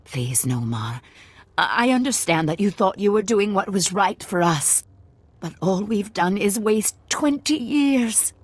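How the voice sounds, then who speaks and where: An actress voicing a wary, stubborn, lovelorn middle-aged woman in a dramatic video game scene, with a husky voice. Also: mid-range voice, very animated, measured pace, no room sound. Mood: pleading, heartfelt.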